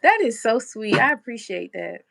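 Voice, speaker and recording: A woman hosting a live stream with a stuffy, congested voice. Her tone has a gravelly, fry-edged texture. She chats loosely and talks straight to her viewers, reading their comments as they come in. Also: fairly high pitch, sing-song, normal speed, a bit bright, no room sound, studio quality. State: stuffy nose. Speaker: livestream host. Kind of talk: casual live stream chat.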